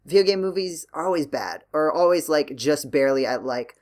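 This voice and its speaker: A man's voice: on the high side, expressive, brisk, darkish tone. A man hosting a podcast, talking casually and working through his points with hedges and self-corrections. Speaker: podcast host.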